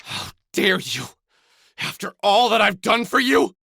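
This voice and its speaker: A man's voice: high-pitched, big pitch swings, a little slow, creaky, sharp tone, breathy edge, no echo, studio quality, exaggerated voice. An actor playing a desperate middle-aged man in a dramatic video game, stammering and pleading. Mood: indignant, flustered.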